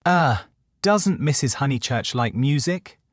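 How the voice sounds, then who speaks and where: A man voicing a courteous, observant clergyman in a storybook, measured and dryly amused. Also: mid-range voice, animated, no echo, clean studio sound. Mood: curious. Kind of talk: audiobook character dialogue.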